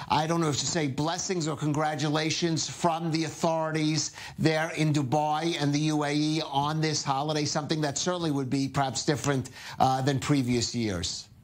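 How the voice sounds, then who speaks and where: Middle-aged male TV anchor, fairly high voice, easy conversational questioning. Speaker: news anchor. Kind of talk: TV news interview. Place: TV studio.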